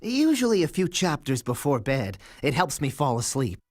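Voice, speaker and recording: A man voicing a hapless, literal-minded bee sidekick in an animated game cutscene. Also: a little high, normal speed, a little hiss or hum. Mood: bemused.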